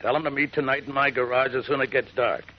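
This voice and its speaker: An actor playing a scheming, menacing gang leader with slangy, commanding talk in a 1940s radio drama. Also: male voice, mid-range voice, fairly even intonation, fast, natural voice. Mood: menacing, bossy. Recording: muffled vintage radio recording.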